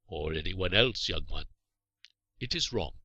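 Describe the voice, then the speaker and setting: A man voicing a stern but merciful Saracen warrior in a storybook, speaking in a measured, formal, commanding way. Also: sits low, lively intonation, pushed and bright, no room sound, highs cut off, exaggerated voice. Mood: grave, kindly.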